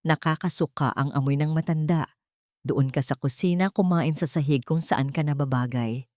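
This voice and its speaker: A woman's voice: deep voice, normal speed, darkish tone, no echo, lightly acted. Mean filipina daughter-in-law, done by a woman storyteller, haughty, disgusted, hissy contempt, audio story, dry sound. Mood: scornful disgust. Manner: hissing, contemptuous.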